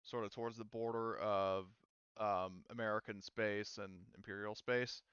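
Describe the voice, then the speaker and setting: A man acting as game master, narrating a tabletop game casually and haltingly as he thinks aloud. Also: on the low side, fairly even intonation, a bit bright, clean tone, no room sound, dull audio.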